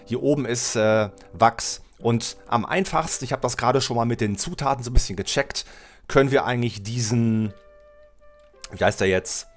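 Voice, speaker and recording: A middle-aged man with a cold, his voice lower than usual, commenting casually on a building game while he plays, thinking aloud and correcting himself. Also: moderate pace, faint music behind. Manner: chatty, thinks aloud, self-correcting, addresses viewer. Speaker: Let's Play host. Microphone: headset mic at desk, webcam.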